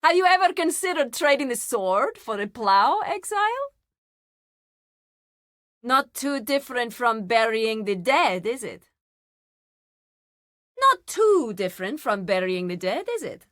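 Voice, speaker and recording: A woman playing a solemn, zealous nature priestess in a fantasy video game, speaking in a formal, deliberate, theatrical way. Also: fairly high pitch, sing-song, fairly bright tone, no echo, studio quality. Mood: wry, instructive.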